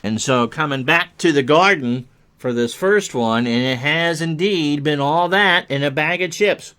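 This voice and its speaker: A man's voice: on the high side, a little slow, sharp tone, smooth. A man doing chatty play-by-play hockey commentary, mixing clipped calls of the action with joking asides.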